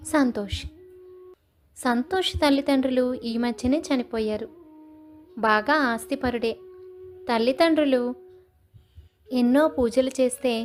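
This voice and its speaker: A woman's voice: high-pitched, a little mellow. A woman storyteller reading scripted narration for a moral story.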